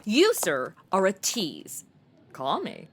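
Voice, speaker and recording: A woman's voice: on the low side, wildly swooping pitch, takes it slowly, noisy background, exaggerated voice. A woman playing a ditzy, cheeky, meddling young sidekick in a comedic audio drama, blithely clueless and exaggerated. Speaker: comic sidekick, star of the show.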